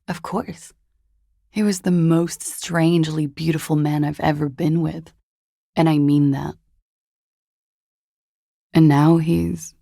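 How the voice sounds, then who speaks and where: A woman's voice: low voice, steady tone, slow pace, creaky, long pauses, mellow, no echo, clean studio sound, full character voice. An actress voicing a world-weary, guarded, seductive woman in a video game, with languid, hesitant, wry delivery. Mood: melancholic. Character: world-weary, guarded, seductive disco-dancer woman recounting a dead lover. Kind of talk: video game dialogue acting.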